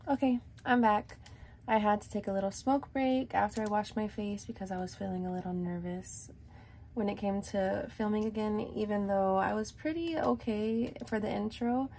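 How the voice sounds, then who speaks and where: A woman in her twenties chatting casually to camera in a small room, rambling with lots of fillers, her voice raspy and dry. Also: mid-range voice, normal speed, mellow, a little hiss or hum. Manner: rambling, lots of likes and ums. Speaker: vlogger.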